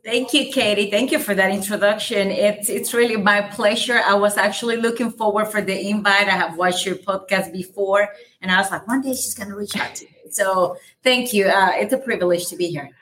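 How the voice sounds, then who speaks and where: A woman from El Salvador with a light Salvadoran Spanish accent, a native Spanish speaker, talking as a guest in a casual podcast interview about her real estate business, energetic and full of fillers. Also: mid-range voice, brisk, few pauses, a little hiss or hum.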